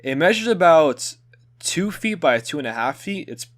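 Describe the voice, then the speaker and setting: A man's voice: on the high side, moderate pace. Young man narrating his school video. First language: Hungarian. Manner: relaxed, conversational, with filler words. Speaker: student presenting a school video project.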